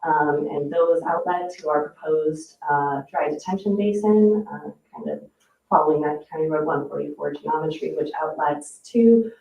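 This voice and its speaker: A woman's voice: sits low, normal speed, vocal fry. A woman engineer giving an orderly, explanatory presentation at a public meeting. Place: indoor municipal meeting room. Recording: muffled, echoey room, distant mic.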